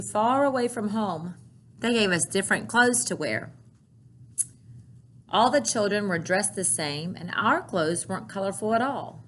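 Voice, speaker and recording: A woman voicing a gentle older grandmother who recalls her childhood in a picture book, measured and earnest. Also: medium pitch, slight background noise. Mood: solemn recollection.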